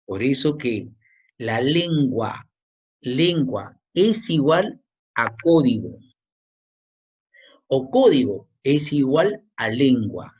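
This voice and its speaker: A man's voice: mid-range voice, very animated, drawn-out words, long pauses, a little mellow, breathy edge. A male teacher giving a language lesson, repeating key points and quizzing the student with questions. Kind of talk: classroom lecture.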